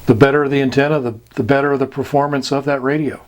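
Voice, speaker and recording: A man giving an informal explanatory lesson as an instructor, deliberate and halting, with long pauses. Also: mid-range voice, flat delivery, darkish tone.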